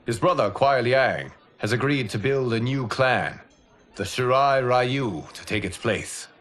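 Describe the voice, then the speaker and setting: Man playing a calm, noble guardian god. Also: mid-range voice, natural voice. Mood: calm, gracious. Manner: formal, measured phrasing. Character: calm, noble male guardian deity and mentor, gracious and grave. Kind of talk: cinematic game cutscene acting.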